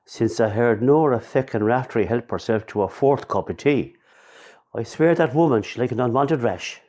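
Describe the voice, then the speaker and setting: A grieving older Irish widower , played by a man, in a radio drama. He is gruff and sardonic, with a medium-pitched voice and a curmudgeonly, sweary way of talking. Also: normal speed, a little mellow, natural voice. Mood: irritated. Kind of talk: radio play acting.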